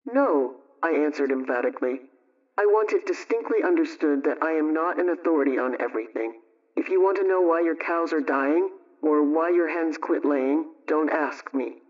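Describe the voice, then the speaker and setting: A woman playing a brisk, motherly homemaking adviser, telling a scripted radio story in an emphatic, instructive way. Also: low-pitched, recorded from a distance, lo-fi sound, close to an everyday voice.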